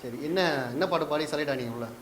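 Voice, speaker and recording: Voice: medium pitch, fast talker, noisy background. An interviewer asking short, casual questions of an audition contestant. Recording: echoey, crowded room with chatter.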